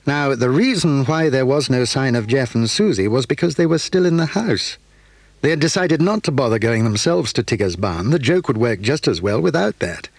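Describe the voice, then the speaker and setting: Narrator: man, husky tone, lively intonation, dry close recording. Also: mid-range voice, no room sound. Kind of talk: scripted storybook narration.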